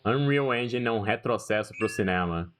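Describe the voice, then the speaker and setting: A man's voice: lowish voice, animated, normal speed, a bit bright. A young man with a Brazilian Portuguese accent, a streamer giving rambling, profane, slangy gaming commentary.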